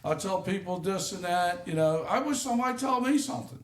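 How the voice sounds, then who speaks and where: A middle-aged man with a slightly rough voice, co-hosting a casual podcast and rambling through animated stories. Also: high voice, a little fast. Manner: rambling storyteller, animated and laughing. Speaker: podcast co-host. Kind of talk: casual chat.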